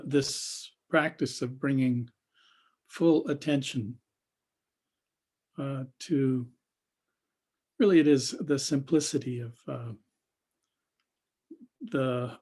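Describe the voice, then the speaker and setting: A man with a husky voice leading an unscripted guided meditation as a teacher, speaking haltingly with long pauses and trailing phrases. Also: medium pitch, fairly even intonation, darkish tone.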